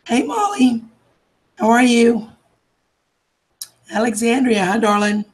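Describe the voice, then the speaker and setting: A woman, losing her voice from a cold, chatting warmly with viewers on a live stream and calling them darling and sweetheart. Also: fairly high pitch, very animated, slow. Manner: affectionate, calls everyone darling and sweetheart. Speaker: livestream host. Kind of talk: casual live chat greeting viewers.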